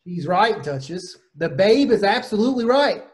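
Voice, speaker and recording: A man's voice: high-pitched, big pitch swings, moderate pace. A man acting as a pushy vaudeville trouper. He talks in old showbiz slang and urges people along in stage drama style. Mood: pushy, smug. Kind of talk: virtual stage play table read.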